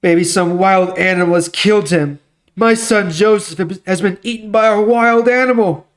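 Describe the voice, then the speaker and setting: Guy doing old dad in a bible story, grief stricken, crying out higher and anguished. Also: moderate pace, close to an everyday voice. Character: grieving old father, shocked by his son's death.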